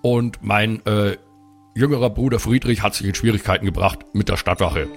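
An earnest elder-brother cleric played by a man in an improvised tabletop story. His voice is low and creaky, with music behind it. He is polite, worried and halting, pleading carefully. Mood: worried, explaining.

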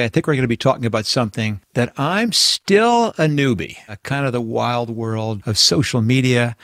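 A man's voice: medium pitch, a bit bright, dry recording, clean studio sound. A man in his fifties hosting a podcast interview, enthusiastic and talkative, moving from a scripted intro into easy conversation. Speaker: podcast host.

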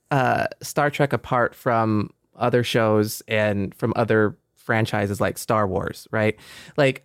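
A man's voice: mid-range voice, clean tone, no echo, studio quality. A man talking casually as a podcast panelist, earnest and explanatory.

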